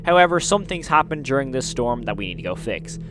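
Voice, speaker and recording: A man with a medium-pitched voice delivers casual commentary as a video host. He retells scary scenes in an emphatic storytelling manner, piling on intensifiers. A noticeable hum sits in the recording. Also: very animated, fast.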